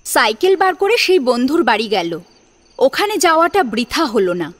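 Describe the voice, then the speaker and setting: High, expressive female storyteller. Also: moderate pace. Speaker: story narrator. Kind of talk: expressive dramatic audiobook narration. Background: music.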